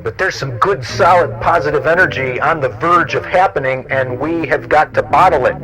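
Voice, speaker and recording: A man's voice: a little high, moderate pace, music in the background, low-quality recording. A man doing an informal interview, pressing his points emphatically and repeating himself for stress. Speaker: guest interviewer. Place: small equipment-packed studio.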